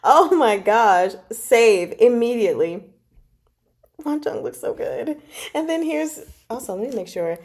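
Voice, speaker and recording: Animated woman in unhurried fan chat. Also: on the high side. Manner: animated, gushing, slangy, drawn-out emphasis with dramatic asides. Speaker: book club host and reviewer. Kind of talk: casual fan chat recapping a novel.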